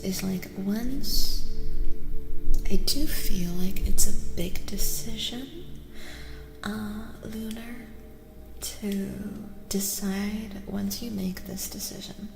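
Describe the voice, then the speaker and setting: A woman hosts a soft-spoken ASMR tarot stream, close and intimate with her listeners. Her delivery is slow and often drops into a whisper with a creaky edge. The recording is washed in long echoing reverb with clear noise behind it. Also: medium pitch. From United States. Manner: intimate, addresses listeners by name with endearments. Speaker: livestream host and tarot reader. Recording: noisy, echoey with long reverb.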